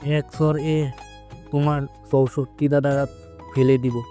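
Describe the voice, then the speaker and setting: Woman doing a dark, flat-voiced grumpy young man. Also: very deep voice, measured pace, soft background music, voice pushed down. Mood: mock threatening. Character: young man, grumpy bickering joker of the family.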